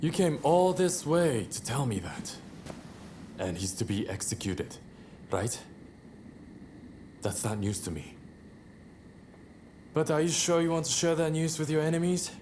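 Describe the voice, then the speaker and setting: Cocky, swooping samurai rebel. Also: male voice, fairly high pitch, very animated, a little mellow, a little hiss or hum. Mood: mocking, amused. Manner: sardonic, drawn-out, playful. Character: cocky, teasing samurai rebel, unruly and nonchalant. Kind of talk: dramatic video game voice acting.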